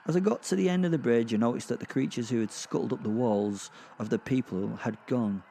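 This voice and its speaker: A man playing a gleeful, foul-mouthed werewolf who narrates a dark comic horror audio drama in a rambling, conversational, sardonic way. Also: mid-range voice, a little fast, gravelly, a little hiss or hum, lightly acted. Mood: sardonic, exasperated. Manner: rambling, sardonic, sweary asides with sighs and chuckles. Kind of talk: first-person storytelling, conversational dark comedy.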